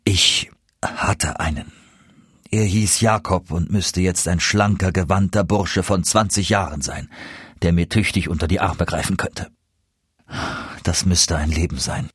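A weary, ageing storybook cobbler, voiced by a male reader, with a husky tone. He sounds lonely and plaintive, a grieving father talking haltingly. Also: sits low, fairly bright tone, no room sound, studio quality, heavily acted. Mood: wistful. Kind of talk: storybook character voice in a fairy tale reading.

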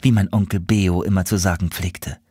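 A man's voice: low-pitched, fairly even intonation, moderate pace, dark tone, slightly rough, no echo, clean studio sound. A man voicing a timid, hopeful colorful bird who speaks politely and a little hesitantly.

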